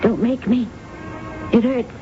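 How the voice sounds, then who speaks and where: An actress playing a haunted, grief-broken woman, prematurely aged and ruled by her dead father, in intense, halting 1970s radio drama style. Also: on the high side, loud music behind the voice. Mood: anguished, bitter. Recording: dull, noisy old radio recording.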